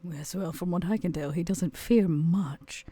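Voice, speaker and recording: A woman playing an aloof, haughty female vampire in a tabletop game, speaking in a deliberate, arch way. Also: fairly low pitch, mellow, husky, no room sound, pitched below the performer's own voice. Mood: aloof, probing. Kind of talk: in-character tabletop roleplay.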